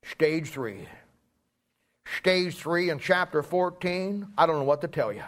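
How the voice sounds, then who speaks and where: A middle-aged man delivers a sermon in a notably high-pitched voice. He preaches in a folksy, emphatic manner, working through anecdotes and repeating key phrases to drive them home. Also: expressive, unhurried, a bit bright.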